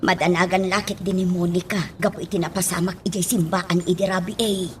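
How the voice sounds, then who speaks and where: A woman's voice: lowish voice, fairly even intonation, fairly quick, slight background noise, natural voice. Woman playing a gossipy, deferential neighbor. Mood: concerned, gossipy. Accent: Filipino (Ilocano). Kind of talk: radio drama acting.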